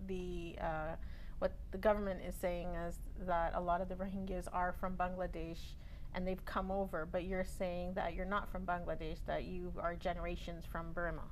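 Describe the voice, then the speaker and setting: A woman's voice: lowish voice, little pitch movement, moderate pace, slight background noise, poor audio. A woman hosting a studio TV interview, asking clarifying questions with many hesitant fillers. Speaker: TV talk show host.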